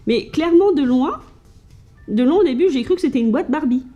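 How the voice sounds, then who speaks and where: A woman from France chats casually to her camera at home. She talks in a mellow, warm voice pitched a little high, stopping and restarting her sentences with plenty of fillers as she shows her finds. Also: lively intonation, a little fast, lo-fi sound, faint music behind. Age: adult with children. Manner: chatty, hesitant, lots of fillers and restarts. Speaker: vlogger showing thrift finds.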